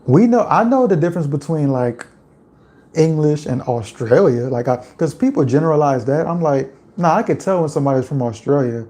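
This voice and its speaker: A man from Louisiana with a Louisiana accent, chatting casually on a livestream and reading out viewer comments in a relaxed, rambling way. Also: on the high side, brisk. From Louisiana, USA. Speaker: livestream host.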